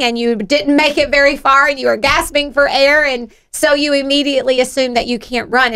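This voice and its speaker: A middle-aged woman with a Southern US accent and a high-pitched, creaky voice. She hosts a motivational radio show, chatting and encouraging listeners effusively. Her tone is bright and forward. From Georgia, United States. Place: radio studio.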